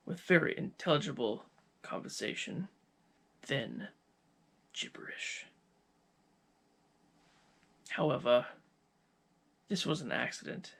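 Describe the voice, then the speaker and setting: A man playing a cold, gloating scientist villain in an ASMR roleplay, speaking with deliberate, menacing pauses in a gravelly, husky voice. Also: medium pitch, fairly bright tone, full character voice. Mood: smug, lecturing.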